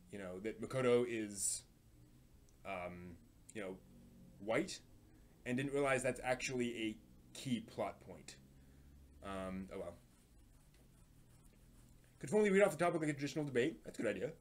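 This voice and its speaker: A man with a medium-pitched voice hosts a casual live stream. He talks off the cuff and thinks aloud as he goes, often stalling and repeating a word before he carries on.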